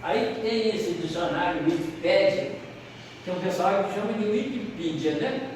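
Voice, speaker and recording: A high-voiced Brazilian man leads a seminar as an animated storyteller, stretching out words and stopping to fix his own slips. He sounds set back from the mic in a long, echoing hall with a faint hiss. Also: far from the mic. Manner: animated storyteller, pausing on words and correcting himself aloud. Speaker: lecturer at a Spiritist seminar. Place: lecture hall.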